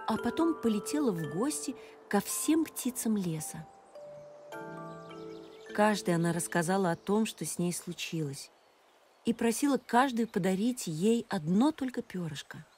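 A woman reading a fairy tale as an expressive storybook narrator. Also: mid-range voice, moderate pace. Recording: music behind the voice.

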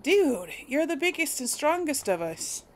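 A woman's voice: high voice, big pitch swings, unhurried, a little hiss or hum. A woman giving casual, chatty gameplay commentary to her viewers, thinking aloud as she plays. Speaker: let's player hosting a gameplay series.

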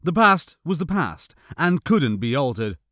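A man reading a science fiction audiobook as scripted, expressive narration. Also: fairly high pitch, unhurried, no room sound, studio quality.

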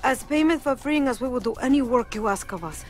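A woman's voice: high-pitched, animated, brisk. Earnest migrant woman over music. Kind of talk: video game cutscene acting.

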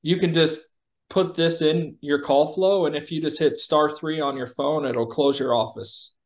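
A man in an executive role giving an informal, explanatory product demo over a video call. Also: mid-range voice. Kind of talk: casual software demo and explanation.

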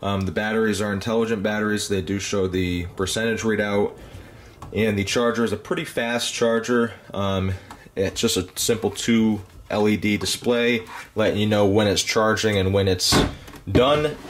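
A man's voice: fairly low pitch, fairly even intonation, moderate pace, a little hiss or hum. A man giving a casual, plainspoken tool review, with frequent ums and uhs. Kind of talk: casual product review voice-over.

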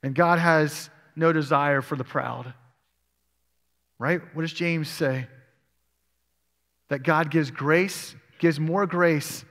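A man preaching a sermon in a church sanctuary, his fairly high voice carrying with long reverb off the hall. He works through scripture aloud and puts questions to his listeners. The long echo of the room trails behind every phrase. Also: slow, pauses often, fairly bright tone. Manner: expository preaching, asks the congregation questions. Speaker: pastor.

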